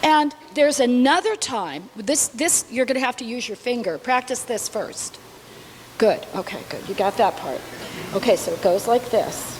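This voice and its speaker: A woman's voice: a little high, lively intonation, moderate pace, noisy background. A woman casually giving instructions to an all-ages audience, coaxing them and demonstrating how to respond. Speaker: storybook fairy helper leading audience responses. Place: live gathering before an audience.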